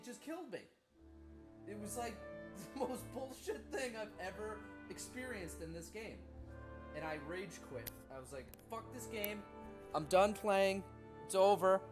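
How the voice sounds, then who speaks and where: A gaming streamer giving casual gameplay commentary in a sweary, complaining manner. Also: mid-range voice. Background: loud game music.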